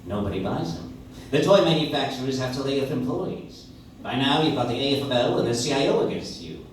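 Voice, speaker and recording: A man's voice: mid-range voice, animated, brisk, a little hiss or hum, lightly acted. A man playing a blunt, sardonic political fixer in an old-time radio play, punchy and persuasive. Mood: sardonic, urgent. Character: wisecracking, hard-nosed political fixer, blunt male crony. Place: coffee shop stage, recorded from the audience. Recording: echoey live stage, distant audience recorder.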